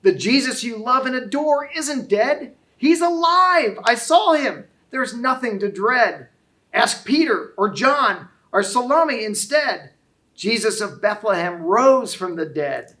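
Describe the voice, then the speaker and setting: An eager little granddaughter from a children's storybook, played by a man. Her voice is pitched high, and she speaks in a bouncy, earnest, childlike way. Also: animated, slow, sharp tone, heavily acted. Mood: excited, reassuring.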